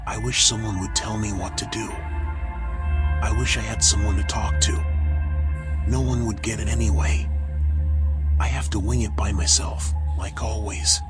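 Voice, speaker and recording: Guy doing a brooding raccoon private eye for a noir game, low voice, real slow, bitter whispery mutter. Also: steady tone, close to an everyday voice. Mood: bitter, torn. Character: weary, self-loathing raccoon private eye, male. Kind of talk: noir video game inner monologue. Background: music.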